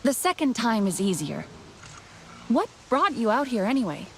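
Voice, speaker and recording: An actress playing a calm, helpful young warrior heroine in a game, easygoing, inquisitive and gently teasing. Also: female voice, fairly high pitch, normal speed, slight background noise. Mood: calm, curious. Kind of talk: naturalistic game dialogue.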